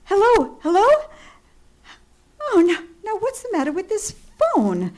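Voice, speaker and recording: An actress playing an anxious, demanding bedridden wife in an old-time radio thriller, flustered and easily exasperated. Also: female voice, extremely high pitch, wildly swooping pitch, a little slow, darkish tone, heavily acted. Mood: alarmed. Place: live theatre stage radio play.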